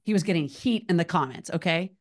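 A woman's voice: fairly low pitch, fast talker. A woman hosting a podcast, chatting casually and rambling off on tangents. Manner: chatty, rambling, self-described tangent talker. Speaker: podcast host.

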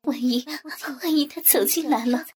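Woman acting a high-pitched, frightened, pleading wife. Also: darkish tone, breathy edge. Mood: anxious. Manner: tearful, halting, repeating words. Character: frightened, pleading married woman, refined and ashamed.

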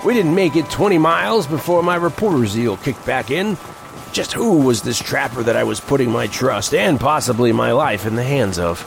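Inquisitive, gravel-edged young reporter. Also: male voice, medium pitch, lively intonation, moderate pace, over music. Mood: narrating, watchful. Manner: hesitant, inquisitive. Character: eager, inquisitive young male newspaper reporter, a bit nervous. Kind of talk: western audio drama acting with first-person narration.

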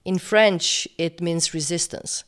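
A woman with a fairly low voice hosts an interview and asks curious, searching questions. Her first language is Romanian. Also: steady tone, slow pace, fairly bright tone, smooth.

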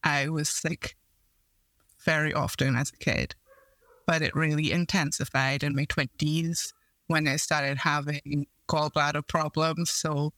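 A woman, a podcast guest who writes and coaches, sharing her story warmly. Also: deep voice, level tone, unhurried, dry recording. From Trinidad and Tobago. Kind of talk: casual personal storytelling.

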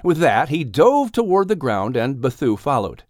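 A man narrates a storybook in a scripted audiobook style. His pitch moves widely and expressively from phrase to phrase. The recording is very dry, with no room sound. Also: sing-song, normal speed, darkish tone, no room sound.

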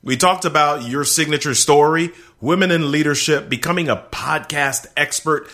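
A man's voice: on the high side, moderate pace, pushed and bright. A man hosting a podcast, upbeat and emphatic, speaking straight to his listeners. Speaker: podcast host.

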